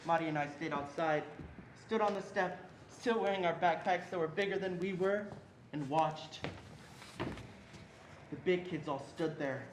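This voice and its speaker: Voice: mid-range voice, unhurried, pauses often, lightly acted. A young performer playing an earnest narrator who recalls a frightened childhood, in scripted stage narration. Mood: uneasy recollection. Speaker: student reader in a reader's theatre performance. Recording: roomy echo with faint background noise.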